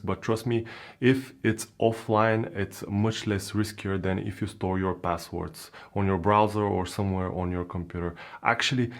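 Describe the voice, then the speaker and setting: Bulgarian guy, lowish voice, explainer video, lots of uhs. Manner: plain instructive, with frequent uh fillers. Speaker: crypto security educator.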